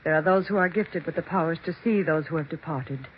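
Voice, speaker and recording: Woman playing a stern, low-voiced domineering mother. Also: natural voice. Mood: stern, menacing. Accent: American. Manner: stern, commanding, deliberate diction. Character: domineering, scheming mother claiming to speak with the dead. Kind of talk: 1940s radio suspense acting. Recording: dull, noisy 1940s radio recording.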